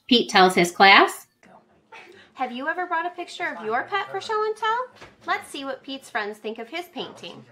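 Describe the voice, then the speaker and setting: Woman, school read-aloud host, high lively voice, warm teacherly asides. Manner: gentle, animated reading with teacherly asides to children. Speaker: school librarian reading aloud. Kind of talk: children's read-aloud storytelling. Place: home.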